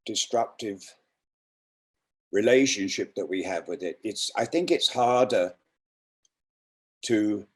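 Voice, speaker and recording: A man reading and introducing poems in an unhurried, deliberate, reflective way. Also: on the low side, darkish tone. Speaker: poetry reader introducing and reciting poems. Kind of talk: poetry reading with spoken introductions.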